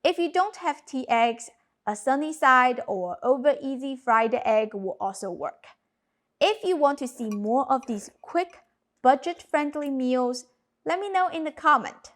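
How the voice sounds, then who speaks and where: A woman in her thirties whose first language is Cantonese, narrating a cooking lesson with clear step-by-step instructions. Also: sits high, animated, unhurried. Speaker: cooking channel host. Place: home kitchen.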